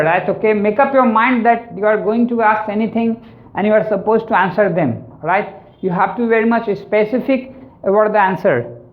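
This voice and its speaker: A man with an Indian English accent, teaching exam tips and often tagging lines with "right?" and "actually". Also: sits high. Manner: repetitive, keeps adding "right?" and "actually". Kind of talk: spoken lesson on exam tips. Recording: tinny, phone-like sound.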